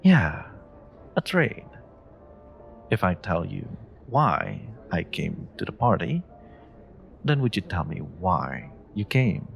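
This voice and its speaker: A man acts out a confident, flirty stranger in a romantic audio roleplay. His low voice has a gravelly creak and swings expressively as he teases and charms the listener in a playful, coy way. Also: big pitch swings, faint music behind, exaggerated voice. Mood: playful, bargaining. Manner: teasing, coy, unhurried, murmuring endearments. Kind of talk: romantic audio roleplay, one-sided dialogue addressed to the listener.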